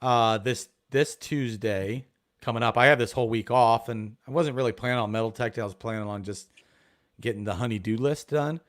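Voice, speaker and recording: A man from Ohio chatting as a guest on a casual live stream about metal detecting, excitable and full of restarts. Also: medium pitch, brisk. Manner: excitable, rambling, many restarts.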